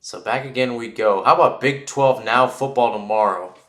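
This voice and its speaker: A man's voice: mid-range voice, normal speed, fairly bright tone, reverberant. A man giving live play-by-play football commentary in an informal way, thinking aloud and correcting himself as he goes.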